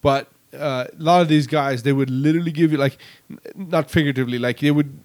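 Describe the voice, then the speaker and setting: A man's voice: on the high side, fairly even intonation, fast. A man co-hosting a podcast, speaking bluntly and emphatically in casual chat. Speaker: podcast co-host.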